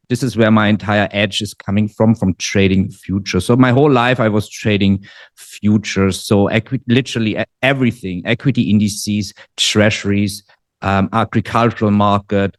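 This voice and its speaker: A man in his forties from Germany with a German accent, talking in a relaxed interview with many fillers and restarts. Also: on the low side, no room sound, studio quality. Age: 40s. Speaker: guest trader. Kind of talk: casual interview. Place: living in Dubai.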